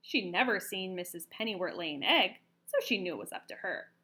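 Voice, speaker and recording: A woman reading a children's story aloud with expressive, emphatic narration. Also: mid-range voice, fairly quick. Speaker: storybook reader.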